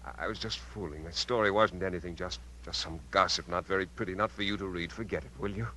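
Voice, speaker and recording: An actor playing a smooth, secretive uncle in a 1950s radio drama, measured and evasive. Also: male voice, low voice, fairly even intonation, bright, forceful tone. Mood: placating. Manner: measured, evasive, halting when cornered. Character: smooth, secretive middle-aged uncle hiding menace. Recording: noisy vintage 1950s radio broadcast.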